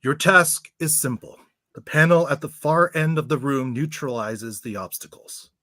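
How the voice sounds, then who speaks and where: A man's voice: mid-range voice, pushed and bright, no echo, clean studio sound, natural voice. A man playing a composed, authoritative professor leading a team of heroes in a radio play. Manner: measured, authoritative.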